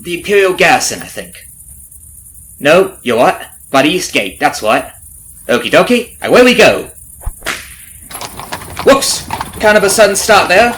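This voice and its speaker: A man's voice: a little high, fairly bright tone. A man playing a nosy, falsely friendly substitute carriage driver in an ASMR roleplay, rambling folksily to the listener with sly undertones. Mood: chummy, apologetic. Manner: folksy, rambling, nosy, hesitant with mock-innocent asides. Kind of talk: ASMR roleplay audio drama, addressing the listener. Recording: noisy, horse and carriage ambience behind. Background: clip-clopping horse, carriage ambience.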